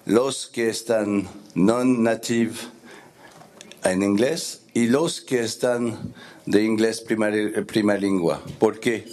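Man, French accent, lowish voice, very slow, halting, searches for words. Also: steady tone, darkish tone. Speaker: board member speaking at a meeting. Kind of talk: meeting remarks. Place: meeting room.

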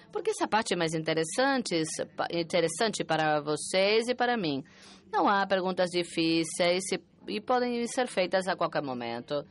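A woman working as a conference interpreter, interpreting a talk with an uneven pace that rushes and then slows, pausing and restarting often. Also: on the low side. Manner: uneven pace, alternately rushing and slowing.

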